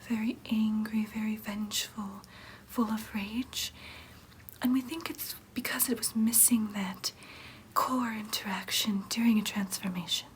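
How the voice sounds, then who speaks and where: Woman acting as female vampire clinic nurse, ASMR roleplay, soft breathy whisper, slightly high voice, soothing, reassuring, careful explanations, noisy background. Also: natural voice.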